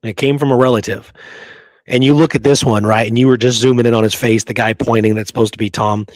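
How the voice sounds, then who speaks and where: A man co-hosts a history talk show in a conversational, debunking style. His pitch is average and the sound is dry. He stresses key phrases by stretching them out and often puts rhetorical questions to his listener. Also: fairly even intonation, quick pace, no echo. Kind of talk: conversational debunking discussion of an old photograph.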